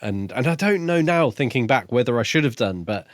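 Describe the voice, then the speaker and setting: A man's voice: mid-range voice, lively intonation, a little fast, no echo, clean studio sound. A man co-hosting a podcast in a casual discussion about books. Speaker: podcast co-host.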